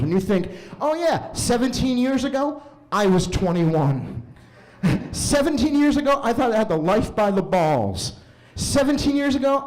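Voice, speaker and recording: Animated comedian in a roomy basement. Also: male voice. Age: 30s. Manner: animated storytelling, jumps into high voices for quoted lines. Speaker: stand-up comedian. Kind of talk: stand-up set. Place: basement open mic venue.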